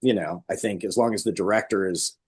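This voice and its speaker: A man's voice: deep voice, brisk, husky. A man talking casually as an interview guest, explaining things in a conversational way and illustrating points with imagined remarks. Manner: explanatory, illustrating with acted-out quotes. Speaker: stage actor and guest.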